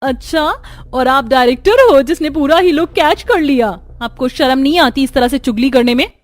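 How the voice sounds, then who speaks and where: Woman voicing an outspoken high-pitched young cartoon sister-in-law. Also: lively intonation, moderate pace, dry recording. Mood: indignant. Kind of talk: Hindi cartoon voice acting.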